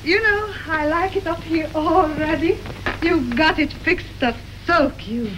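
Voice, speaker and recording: An actress playing a chatty, hopeful young waitress arriving as a bride, talking in a folksy, ungrammatical way, in early 1930s film acting. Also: female voice, very high-pitched, a little slow. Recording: hissy early sound film.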